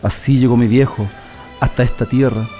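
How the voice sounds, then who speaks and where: A man's voice: medium pitch, fairly even intonation, moderate pace, warm tone, soft background music, lightly acted. An actor playing a proud, nostalgic political prisoner in a radio drama, telling his story reflectively with a Chilean accent. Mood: solemn, nostalgic. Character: male political prisoner, proud nostalgic communist militant, immigrant's son.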